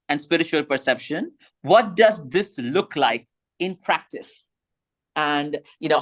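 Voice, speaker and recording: A man's voice: on the high side, fairly bright tone. A man whose first language is Tamil, giving a thoughtful slide presentation and rising in emphasis on key points.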